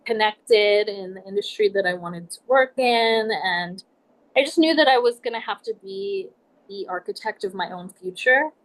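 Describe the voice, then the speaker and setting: A woman in her forties from Nebraska, a podcast guest telling her life story in a reflective, measured way. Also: on the high side, lively intonation, rough recording. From United States, Nebraska. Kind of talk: casual interview talk.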